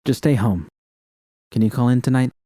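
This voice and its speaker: A man's voice: medium pitch, dark tone, no echo, clean studio sound, pitched above the performer's own voice, close to an everyday voice. A man reading aloud, voicing a tough, short-tempered woman mercenary who talks curtly and swears. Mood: gentler. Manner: curt and swearing, quick.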